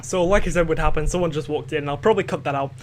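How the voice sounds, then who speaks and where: A man's voice: a little high, very fast, slight background noise. A teenage boy from Scotland with a light Scottish accent, hosting a home podcast in a hesitant, rambling way full of fillers and restarts. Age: teens. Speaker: podcast host. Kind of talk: casual rambling monologue.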